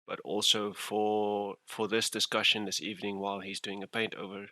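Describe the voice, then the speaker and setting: A man's voice: lowish voice, monotone, normal speed, bright, forceful tone, no room sound. A man hosting a casual livestream interview, talking in loose run-on phrases and laughing often. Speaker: livestream host.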